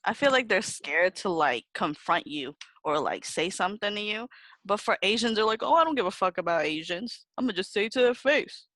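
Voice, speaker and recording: Animated, sweary woman telling stories. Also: mid-range voice, a little fast, dry recording. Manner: sweary, animated storytelling with acted-out quotes. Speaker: podcast host. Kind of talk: casual, unfiltered group chat.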